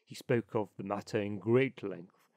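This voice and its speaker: Man voicing a low, pompous water rat. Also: moderate pace, vocal fry, a little mellow, no room sound, clean studio sound. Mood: pompous, opinionated. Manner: pompous, know-it-all. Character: opinionated, self-satisfied male water rat.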